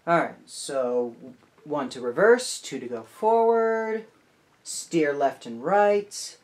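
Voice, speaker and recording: Lively, slow-paced game walkthrough host. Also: medium pitch. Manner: excitable exclamations and self-talk while playing. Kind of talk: casual gameplay commentary.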